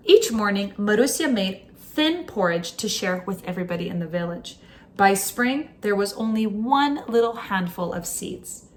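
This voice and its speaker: A woman reading a children's book aloud as a teacher, in an expressive storytime style. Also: a little high.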